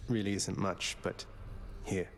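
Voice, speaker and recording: An actor playing a cheeky, rebellious young prince in a fantasy game, speaking in a dry, casual way. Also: male voice, sits low, fairly even intonation, unhurried. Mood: warm.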